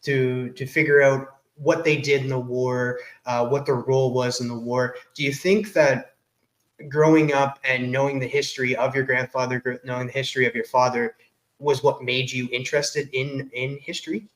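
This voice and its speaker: A man hosts a relaxed interview and asks long, winding questions padded with fillers. His voice sits at an ordinary middle pitch. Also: normal speed, poor audio. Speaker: podcast host.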